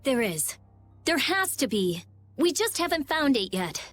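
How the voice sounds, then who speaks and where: A blunt, sharp-minded young girl in a video game. The voice is high and intense, worried and insistent, with music faintly behind. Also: very animated, a little slow, heavily acted. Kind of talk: voice acting in an English video game cutscene.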